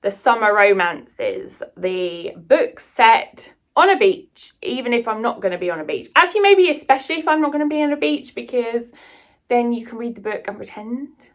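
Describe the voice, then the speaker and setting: A woman's voice: a little high, lively intonation, normal speed. A British woman chatting casually and enthusiastically to viewers in a rambling book vlog. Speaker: book vlogger and writer.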